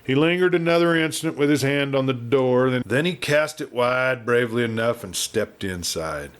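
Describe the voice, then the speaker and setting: Male audiobook narrator for western fiction. Mid-pitched, measured, dramatic storytelling read. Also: animated, clean tone. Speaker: audiobook reader. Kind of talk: scripted western novel narration.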